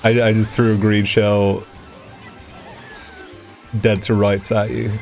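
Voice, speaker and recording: A man's voice: lowish voice, flat delivery, slow pace, vocal fry, music in the background. A young man who is not a native English speaker, chatting and laughing casually while playing a racing game with friends. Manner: chatty, laughs easily, reminiscing. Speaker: gamer streaming with friends. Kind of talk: casual gaming banter.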